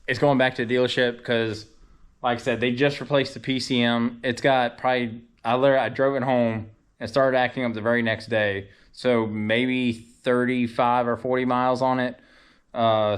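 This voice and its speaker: A man's voice: mid-range voice, flat delivery, normal speed, clean tone. A man giving an offhand, casual vlog update, thinking aloud as he goes. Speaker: drag racer and vlogger.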